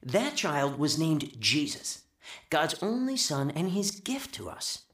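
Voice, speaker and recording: An actor playing a fervent, welcoming mission preacher delivering an earnest, exhorting sermon in a radio drama. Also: male voice, a little high, big pitch swings, slow pace, exaggerated voice. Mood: earnest, hopeful. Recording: echoey mission-hall sound.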